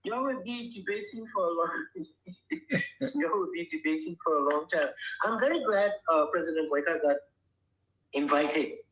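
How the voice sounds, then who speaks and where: A woman's voice: on the low side, lively intonation, moderate pace, a bit bright, echoey room, low-quality recording. An older woman from Liberia, speaking bluntly and emphatically as an interview guest, often checking that she is understood. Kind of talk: casual interview talk.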